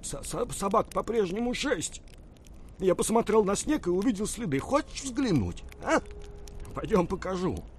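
An actor playing an anxious, uneasy frontier hunter in an audio drama, worried and sometimes halting in his speech. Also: sits high, sing-song, slight background noise. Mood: puzzled, uneasy. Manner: worried, halting and stammering when agitated. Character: Bill, anxious, uneasy male frontier hunter and sled driver. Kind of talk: Russian audio drama acting.

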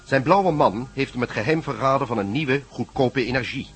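A male narrator gives a measured recap for an old radio play. Music plays clearly beneath his even voice. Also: mid-range voice. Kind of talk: scripted narration. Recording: music bed under narration.

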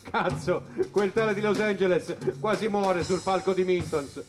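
Man acting ailing jazz musician, high-pitched voice, theatrical monologue, nostalgic and volatile, music behind. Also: moderate pace, natural voice. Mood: bitter amusement. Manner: dramatic, swinging between brooding and excited. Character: ailing jazz musician in hospital, laughing over wild memories.

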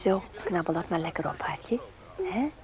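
Brisk, caring radio-play wife played by an actress. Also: female voice, lowish voice, lively intonation, crowd noise, close to an everyday voice. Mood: soothing. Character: brisk, caring wife and mother, gently nagging. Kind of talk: Dutch radio play acting, domestic scene.

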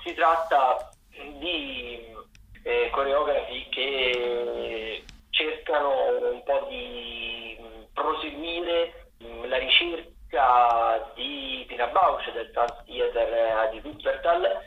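A man giving spoken listings of theatre and dance events as a radio correspondent, haltingly, searching for words. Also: mid-range voice, sing-song, vocal fry, lo-fi sound. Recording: roomy echo, faint background noise.

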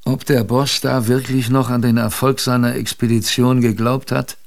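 A man playing an older adventurer who recalls his youth in a creaky voice, with wistful, conversational radio drama narration. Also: medium pitch, little pitch movement, moderate pace, close to an everyday voice. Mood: nostalgic. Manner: conversational, wistful, reflective storytelling.

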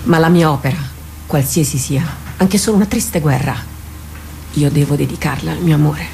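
A woman's voice: sits low, moderate pace, dark tone, faint music behind. An actress playing a devoted woman warrior captain in a radio drama, stubbornly loyal and solemn, speaking with earnest theatrical gravity. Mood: solemn, resolute. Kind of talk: Italian radio drama acting. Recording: clearly noisy radio drama recording.

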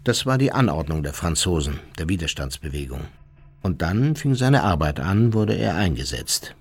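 An unrepentant wartime German officer for a radio documentary drama. The voice is fairly low, and he recounts events coolly and precisely, as if giving testimony. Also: sing-song, moderate pace, husky, full character voice. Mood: coolly satisfied.

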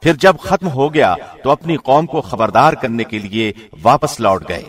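Mid-pitched man reading scripture translation. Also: expressive, slightly rough. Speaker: translation reader, radio host.

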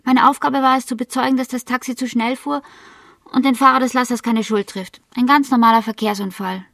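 An actress playing a cool, self-possessed woman in a German radio crime drama, speaking deliberately and matter-of-factly, sometimes almost whispering. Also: on the high side, fairly even intonation, vocal fry, a bit bright, no echo, clean studio sound, distant mic. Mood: assertive, proud.

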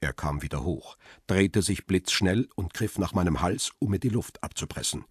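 An actor playing a grim male photographer who narrates a crime radio drama in a measured, terse, husky voice. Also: low-pitched, animated, no room sound, studio quality. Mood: grim, tense. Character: middle-aged male photographer Peter Lime, grim first-person narrator.

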